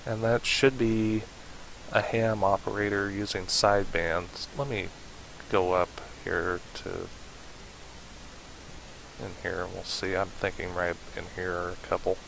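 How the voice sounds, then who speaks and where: Slow, flat-toned man giving a tutorial. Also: sits low, vocal fry, rough recording. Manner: hesitant, informal, thinking aloud. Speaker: tutorial presenter. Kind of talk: unscripted software tutorial narration. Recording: hissy home voice-over recording.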